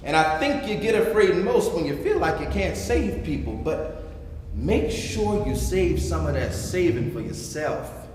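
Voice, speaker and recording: Male actor playing a wise, nurturing hostess for stage drama; expressive and gentle, with long, noisy hall reverb. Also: on the high side, unhurried. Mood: tender, counseling. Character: wise, motherly Miss Verna, basement-party hostess. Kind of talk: staged play reading. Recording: very echoey hall, noisy live stream.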